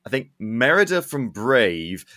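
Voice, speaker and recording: A man's voice: medium pitch, big pitch swings, unhurried, bright, forceful tone. Wry, opinionated male podcast host. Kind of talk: casual comedic entertainment news chat.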